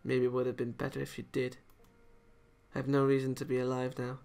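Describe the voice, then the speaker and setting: A man's voice: mid-range voice, completely flat delivery, a little fast, gravelly, darkish tone, clear, resonant tone, soft background music, close to an everyday voice. A man voicing a sad, defeated young female villain from a visual novel in a subdued, self-pitying way. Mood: dejected, hopeless.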